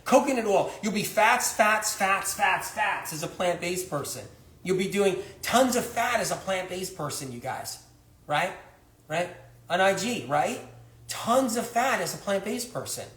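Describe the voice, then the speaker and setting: Male livestream host, high voice, emphatic and repetitive, echoey room. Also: a bit bright. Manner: emphatic, repetitive, rhetorical questions. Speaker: health author hosting a livestream. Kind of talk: livestream monologue to viewers.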